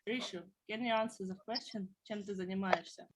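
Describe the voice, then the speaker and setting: A woman's voice: mid-range voice, steady tone, moderate pace, noisy background. A woman teaching a beginner language class, patiently explaining words one at a time.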